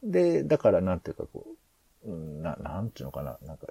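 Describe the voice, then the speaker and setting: A man's voice: deep voice, wildly swooping pitch, fast, gravelly, dark tone, husky, dry recording. A man hosting a podcast, talking casually through planning ideas in a hesitant, rambling way as he thinks aloud. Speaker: podcast host.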